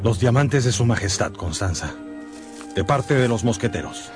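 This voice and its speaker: A man's voice: medium pitch, lively intonation, moderate pace, music in the background, close to an everyday voice. An actor playing a young swordsman hero in a radio drama, sincere, resolute and respectful in manner. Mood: proud. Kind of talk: Spanish-language radio drama acting.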